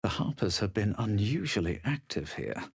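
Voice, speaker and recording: An actor playing a haughty, self-assured male adventurer in a fantasy video game, speaking measured, formal scripted lines with a superior manner. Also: fairly low pitch, big pitch swings, vocal fry, breathy edge, no echo, clean studio sound, exaggerated voice. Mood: grave, measured. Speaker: video game player character.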